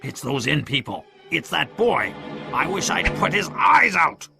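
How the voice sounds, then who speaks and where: A man voicing a furious, menacing blind pirate leader who barks orders and curses in a strained, gravelly voice for a storybook. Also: on the high side, very animated, measured pace, over music, voice pushed up, heavily acted. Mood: vengeful. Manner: barking orders and cursing.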